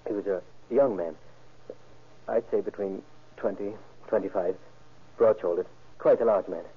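An actor playing a shaken, wounded husband in an old-time radio crime drama, speaking haltingly and earnestly. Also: male voice, on the low side, slightly rough. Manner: halting, earnest witness account. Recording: muffled, band-limited vintage radio recording.

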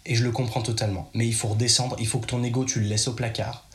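French guy chatting straight at you, mid pitch, roomy echo. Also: flat delivery, fast talker. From France. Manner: chatty, direct, addresses listener informally. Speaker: podcast host. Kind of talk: casual advice monologue.

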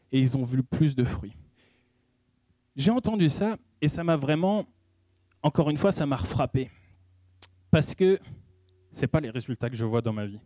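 A man giving a sermon in a conversational style, pausing often and restarting his sentences as he speaks. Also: medium pitch, mellow, dry recording. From French-speaking. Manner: conversational preaching with frequent hesitations and restarts. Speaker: preacher.

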